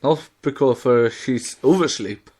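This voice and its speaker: A man, a native Swedish speaker, co-hosting a podcast in casual, halting non-native English. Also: mid-range voice, warm tone. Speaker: podcast co-host. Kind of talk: casual chat.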